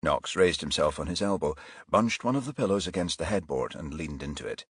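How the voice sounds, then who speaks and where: A man's voice: extremely low pitch, animated, a little fast, breathy edge, no room sound, clean studio sound. A man reading a crime thriller audiobook as scripted narration.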